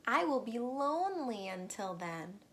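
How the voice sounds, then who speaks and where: A woman's voice: fairly high pitch, very animated, a little slow, fairly bright tone. A woman voicing an eager, cheerful male frog from a storybook, coaxing and pleading with a friend.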